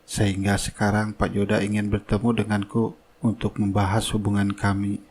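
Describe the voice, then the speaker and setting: A man's voice: lowish voice, completely flat delivery, moderate pace, a little mellow, slight background noise, natural voice. A man playing a scheming young worker in a cartoon drama, who puts on a meek, innocent manner over his slyness. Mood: sly, smug. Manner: deferential aloud, sly in private. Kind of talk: cartoon drama dialogue and inner thoughts.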